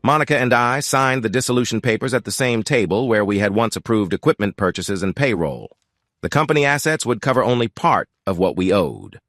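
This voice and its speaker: Sober man with lively intonation. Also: on the low side, brisk, no room sound, studio quality. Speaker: narrator. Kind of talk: scripted first-person narration.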